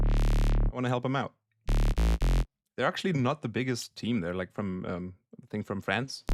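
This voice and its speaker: Low-voiced German man over synth music. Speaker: music producer, streamer. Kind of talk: casual livestream demo of a synth plugin. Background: synthesizer sounds and music.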